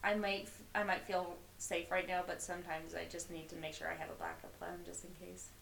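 A young woman with vocal fry, co-hosting a casual, bubbly film podcast. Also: fairly low pitch, level tone, brisk, fairly bright tone, echoey room, slight background noise. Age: young adult. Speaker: podcast co-host. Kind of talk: casual film review chat. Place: on a bed in a bedroom.

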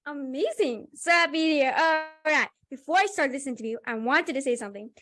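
A woman's voice: high-pitched, a little fast, a bit bright. A young woman hosting a podcast, running a casual interview in an enthusiastic, upbeat way. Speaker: podcast host.

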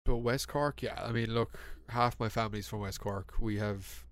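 A man's voice: lowish voice, steady tone, normal speed, no echo, clean studio sound. A young man with a west-of-Ireland accent, chatting on a podcast in a teasing way with playful, exaggerated reactions. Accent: west of Ireland Irish. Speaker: podcast co-host. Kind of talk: casual chat.

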